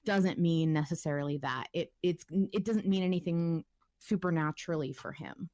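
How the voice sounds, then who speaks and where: A woman's voice: sits low, normal speed, darkish tone, dry recording, clean studio sound. A woman podcast panelist talking in a casual, informal discussion.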